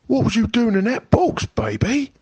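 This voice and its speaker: A man doing a husky, gruff old burglar. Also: very high voice, wildly swooping pitch, darkish tone, no echo, pitched above the performer's own voice, heavily acted. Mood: amazed. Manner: rough dialect speech, slow drawling lines. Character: gruff male burglar, rough-spoken and soft-hearted. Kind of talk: storybook read-aloud.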